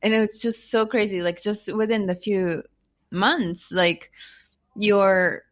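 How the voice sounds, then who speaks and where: A woman's voice: medium pitch, steady tone, slow. A woman from Japan with a Japanese accent, a guest author in a casual interview, chatting with many fillers and restarts.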